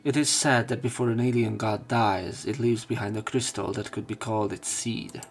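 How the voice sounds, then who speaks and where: A man reading visual novel lines aloud as let's play narration, halting at times and correcting himself. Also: on the low side, steady tone. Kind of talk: read-aloud narration and commentary of game dialogue. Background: game music.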